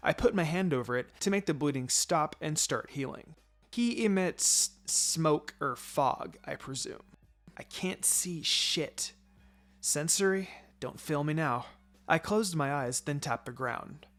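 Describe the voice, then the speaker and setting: A man reading a first-person story aloud in an expressive, playful way. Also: on the high side. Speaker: fan fiction reader.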